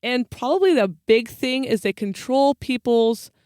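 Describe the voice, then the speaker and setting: A woman's voice: a little high, expressive, unhurried, darkish tone, clear, resonant tone, no echo, clean studio sound. A woman co-hosting a podcast, explaining folklore in a casual, chatty way.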